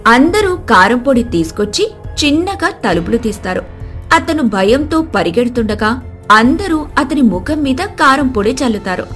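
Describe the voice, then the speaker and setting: A woman narrates a scripted tale as storyteller. Her voice sits fairly high. Light music runs behind the narration. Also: big pitch swings, normal speed, fairly bright tone.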